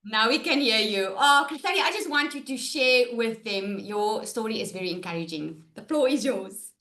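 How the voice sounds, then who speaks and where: A woman's voice: a little high, lively intonation, normal speed, sharp tone, a little hiss or hum. A woman hosting a live online webinar over a video call, upbeat and chatty with her viewers. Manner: upbeat, addresses viewers as guys. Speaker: webinar host.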